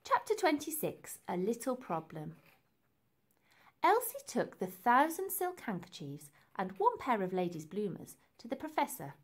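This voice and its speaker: Lady reading a kids book out loud, super expressive, pitch goes up and down a lot, dramatic. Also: unhurried, husky. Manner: animated, dramatic emphasis for young listeners. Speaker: storyteller reading a children's book aloud. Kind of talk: expressive storybook narration.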